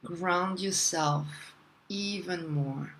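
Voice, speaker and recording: A woman leading a guided meditation in a soothing, deliberate manner, leaving long pauses between phrases. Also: on the low side, fairly bright tone, a little hiss or hum, poor audio.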